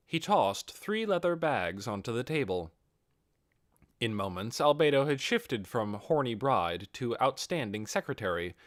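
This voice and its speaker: A man reading a fantasy novel aloud as expressive scripted audiobook narration. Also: fairly low pitch, dry recording, clean studio sound. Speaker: audiobook reader.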